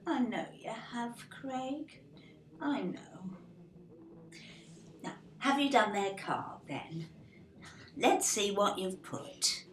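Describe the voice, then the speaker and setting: An actress playing an anxious, fretful English mother in an audio drama, flustered and quick to apologise. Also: medium pitch, animated. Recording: roomy echo, faint background noise.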